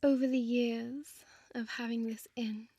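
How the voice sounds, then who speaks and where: A woman playing a seductive, possessive vampire innkeeper in an ASMR audio roleplay, whispering softly with hypnotic, commanding slowness. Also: fairly high pitch, fairly even intonation, no room sound, lo-fi sound. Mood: teasing, seductive. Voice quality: breathy, soft whisper. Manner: slow, hypnotic, coaxing, deliberate pauses.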